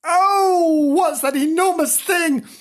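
A little storybook tomcat kitten, voiced by a woman, pitched far above an everyday voice. He is naughty, boastful and quick to panic, with big swoops in his speech. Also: big pitch swings, slightly rough, full character voice. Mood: frightened. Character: naughty, easily frightened boy kitten, boastful, squeaky. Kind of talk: children's storybook read aloud.

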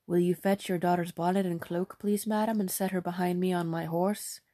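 A woman's voice: lowish voice, fairly even intonation, moderate pace, mellow, no echo, clean studio sound, natural voice. A woman doing a courteous young prince.

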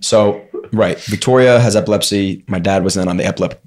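Young male host, low voice, loose and jokey interviewer. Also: fairly quick, a little mellow, slight background noise. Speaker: podcast host. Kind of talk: casual podcast chat.

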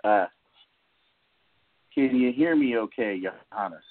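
A man hosting a podcast with casual, somewhat halting introductions over an online voice call. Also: lowish voice, animated. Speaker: podcast host. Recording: muffled, phone-like voice-call audio.

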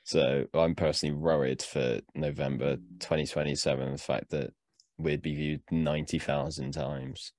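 A man's voice: rumbling low, expressive, moderate pace, creaky, a little mellow, dry recording. A man in his twenties chatting casually as a podcast co-host. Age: 20s.

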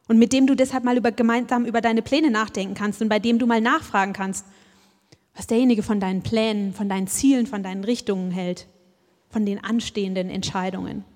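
A woman's voice: medium pitch, fairly even intonation, brisk, darkish tone. A woman giving a sermon in a reflective way, often putting questions straight to her listeners. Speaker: preacher. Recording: long hall reverb.

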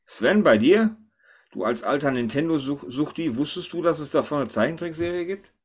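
A man's voice: on the high side, expressive, normal speed, noisy background. A man hosting a casual panel chat, thinking aloud and often tossing questions to the others. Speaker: podcast host.

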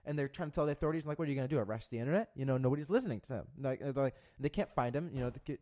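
A man's voice: medium pitch, rapid-fire, darkish tone. A young man co-hosting a podcast, telling a story in a rambling, profane way with many restarts. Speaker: podcast co-host. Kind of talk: casual chat.

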